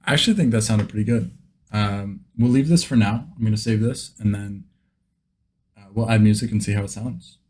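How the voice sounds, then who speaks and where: A man's voice: lowish voice, brisk, a little mellow. A man hosting a vlog in a casual, conversational style. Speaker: video host, beginner voice actor.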